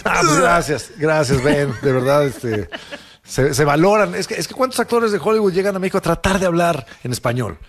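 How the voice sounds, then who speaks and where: Higher-pitched Mexican man co-hosting radio. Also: big pitch swings, a little fast. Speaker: radio show co-host. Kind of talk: conversational commentary and banter.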